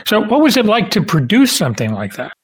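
A man hosting an interview in a casual, conversational way, somewhat halting as he forms his questions. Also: high voice, huge pitch swings, slightly rough. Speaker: podcast host interviewer.

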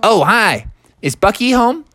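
A man's voice: high voice, sing-song, unhurried, sharp tone, pitched above the performer's own voice, exaggerated voice. A man voicing an eager young boy frog who sounds anxious and earnest. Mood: worried. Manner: earnest, anxious, breathless questions.

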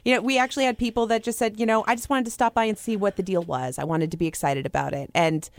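A woman's voice: medium pitch, fairly even intonation, fast, no room sound. A woman from Maine with a Maine accent, chatting upbeat as a guest on a radio show. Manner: upbeat, chatty, laughs easily. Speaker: guest, community organizer. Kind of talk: casual radio interview.

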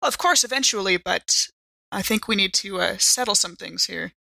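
A woman's voice: mid-range voice, moderate pace, creaky, pushed and bright, no echo. A woman playing a tabletop role-playing game, improvising conversation in character.

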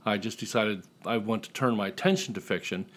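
A man's voice: on the low side, a little fast. A man talking casually and jokingly as a writer being interviewed on a podcast.

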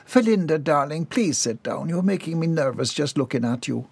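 A man's voice: a little high, expressive, normal speed, creaky, husky, no room sound, pitched above the performer's own voice, full character voice. A man playing a composed, stern matron. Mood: firm. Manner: soothing but stern. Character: composed, motherly middle-aged matron.

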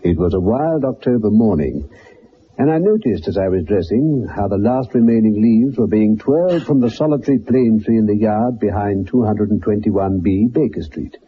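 An actor playing a genial, loyal gentleman with an English accent, well-spoken and literary, in the style of 1960s radio drama. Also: lowish voice, animated, normal speed, lightly acted. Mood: reflective narration. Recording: muffled, noisy 1960s radio recording.

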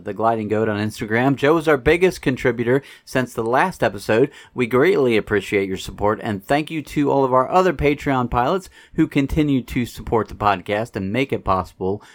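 American man, podcast host, steady announcer read, mid pitch. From United States. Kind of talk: scripted podcast intro and interview.

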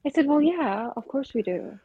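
A woman's voice: high voice, animated, normal speed, no room sound, narrow, tinny audio. A woman from Afghanistan talking in an earnest, opinionated way on a casual podcast, mixing in spiritual ideas. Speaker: podcast co-host.

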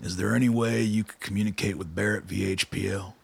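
Very gravelly, deep old colonel. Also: male voice, fairly even intonation, brisk, exaggerated voice. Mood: firm, pressing. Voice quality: gravelly, husky. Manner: blunt, commanding, dryly pressing. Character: hard-nosed old soldier, a blunt, shrewd male colonel. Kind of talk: radio drama dialogue.

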